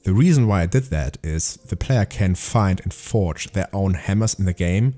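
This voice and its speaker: Calm low-voiced man narrating a devlog. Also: moderate pace, faint music behind. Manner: calm, explanatory, methodical. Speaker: indie game developer.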